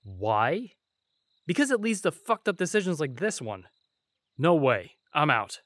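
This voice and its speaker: A man's voice: a little high, big pitch swings, measured pace, a bit bright, no echo, clean studio sound, exaggerated voice. A man playing a skeptical veteran bodyguard and exasperated friend in an audio roleplay, blunt and incredulous.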